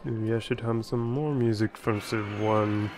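A man's voice: on the low side, fairly even intonation, a little slow, noisy background. A man thinking aloud in casual gameplay commentary, rambling and playful, recorded at home. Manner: rambling, playful, breaks into nonsense sounds. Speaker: let's play creator.

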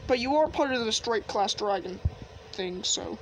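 A woman playing a frank, fatherly knight in an improvised game roleplay, explaining things in a halting, matter-of-fact way. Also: fairly high pitch, animated, slight background noise, rough recording. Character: fatherly knight, frank mentor to his son.

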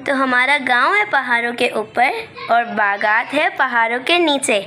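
A woman's voice: sits high, unhurried, bright, forceful tone, faint music behind. A young woman narrating a vlog as a voice-over, on a noisy amateur phone recording. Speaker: vlogger.

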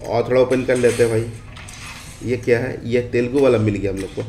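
A man's voice: mid-range voice, steady tone, a little fast, mellow, soft background music. A man with an Indian accent casually walking viewers through a game update in a conversational, tag-heavy way, as host of a gaming video. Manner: conversational, frequent checking tag phrases. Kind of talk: casual screen-capture commentary on game updates. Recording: roomy echo on voice.

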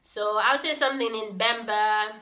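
A young woman with a Zambian accent chatting casually to camera as a vlogger, in an expressive, informal way. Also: a little high, moderate pace. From Zambia, Copperbelt. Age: young adult. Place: plain small indoor room.

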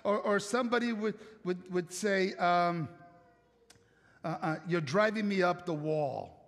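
A man's voice: high voice, unhurried. A middle-aged man from Italy with an Italian accent, preaching a conversational sermon from a church stage and asking the congregation lots of questions. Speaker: pastor. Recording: long church hall reverb.

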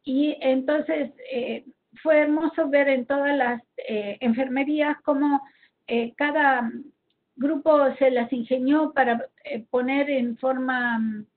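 High-pitched Argentine woman, tinny phone-like line. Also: fairly even intonation, slow. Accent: Argentine Spanish. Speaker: health professional presenting a seminar. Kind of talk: slide presentation, explanatory.

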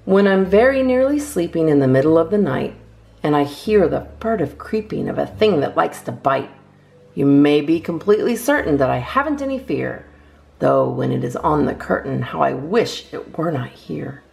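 A woman reading children's poems aloud as a storytime host, with expressive, measured phrasing. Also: deep voice, creaky, faint music behind.

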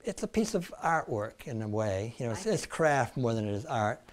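A man's voice: medium pitch, sing-song, moderate pace. An older man who builds canoes talks earnestly in his shop, adding some dry humor. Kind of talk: casual interview. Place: woodworking shop, indoors. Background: background music.

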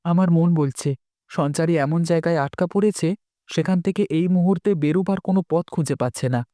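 Man voicing a high-pitched earnest village boy. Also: fairly quick, dark tone, no echo, studio quality, lightly acted. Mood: worried, earnest. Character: earnest young village boy, loyal and worried. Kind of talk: dubbed cartoon dialogue.

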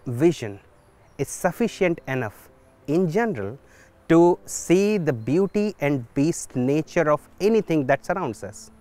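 A man, speaking in a thoughtful, measured way with pauses, recorded outdoors in a garden on a lapel mic. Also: on the high side, smooth. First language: Hindi. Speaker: solar physics researcher. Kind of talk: documentary interview.

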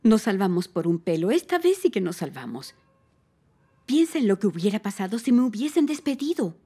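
A woman in her forties dubbing a worried working mother in a TV drama, expressive in her speech. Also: medium pitch, a little fast, husky. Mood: hopeful. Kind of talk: Spanish dub of a TV drama.

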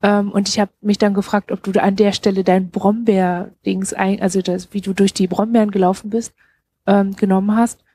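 A woman with a dark, husky voice and a middling pitch asks a question from the audience. She speaks informally and hesitantly, working out her wording as she goes. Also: level tone, moderate pace. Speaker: audience member asking a question.

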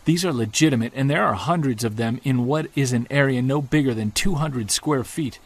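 An actor playing a Bigfoot hunter on an expedition, talking low and excited into his field recorder, with faint outdoor sound behind him. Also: brisk, close to an everyday voice. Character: obsessed male Bigfoot hunter alone in woods. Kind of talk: audio drama field-recorder monologue. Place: woods at night and day.

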